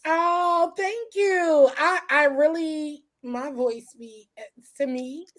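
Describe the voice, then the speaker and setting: A sassy woman caller chatting on a livestream and handing out advice. Her voice is high and at times a little hoarse. She speaks slowly and drawls her words. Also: a bit bright. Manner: sassy, advising, calls everyone girl. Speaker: guest caller. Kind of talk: casual livestream chat.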